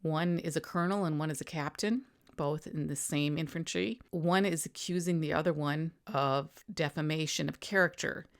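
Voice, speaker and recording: A woman with a low-pitched voice reads out old newspaper items as a narrator. Her delivery is matter-of-fact, moving between formal headline reading and plainer, informal retelling. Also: normal speed, few pauses. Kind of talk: news reading with informal summarizing asides.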